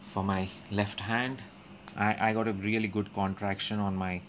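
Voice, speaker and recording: A young man calmly narrating his gym workout as a voice-over, explaining each step with frequent pauses. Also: fairly low pitch, slight background noise. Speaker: fitness vlogger. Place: indoor gym.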